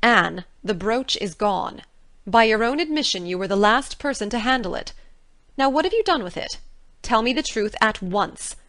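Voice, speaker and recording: A woman voicing a strict older female guardian with a sharp, scolding manner, read for an audiobook. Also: mid-range voice, animated, moderate pace, no room sound. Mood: angry. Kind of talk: audiobook character reading.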